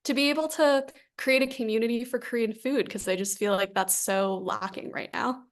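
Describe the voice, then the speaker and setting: A woman with a gravelly, chatty founder voice. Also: a little high, moderate pace. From New Jersey, USA. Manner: chatty, animated, quotes her own past thoughts. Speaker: founder and CEO, guest. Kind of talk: conversational interview.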